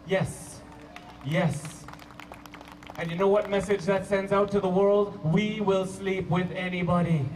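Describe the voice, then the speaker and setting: High-voiced Canadian stand-up comedian, recorded from afar. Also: male voice, steady tone, takes it slowly, echoey room, a crowd in the background. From Toronto, Canada. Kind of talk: stand-up comedy routine to a live audience. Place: live comedy show at a park venue. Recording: distant mic, hissy live-show camcorder sound.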